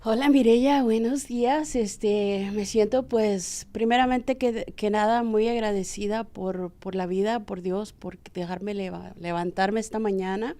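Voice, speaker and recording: A woman's voice: medium pitch, steady tone, a little slow, hardly any pauses, dark tone. A woman in her forties from the Texas border, telling her story casually and humbly as an interview guest, with hesitant fillers. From Texas border region, Mexican family. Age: 40s.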